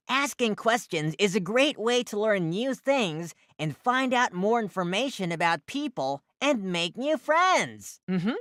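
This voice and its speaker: A woman's voice: medium pitch, a bit bright, no echo, clean studio sound. A woman voicing a cheerful, friendly host of a preschool learning cartoon, asking simple questions in a sing-song, teacherly way. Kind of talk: children's educational cartoon dialogue.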